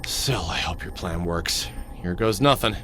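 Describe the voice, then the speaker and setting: A man's voice: sits low, a little slow, a bit bright. A man playing a sarcastic, nervous middle-aged thief in a video game, exasperated and full of grudging bravado. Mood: skeptical, resigned. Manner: sardonic, exasperated. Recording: music behind the voice.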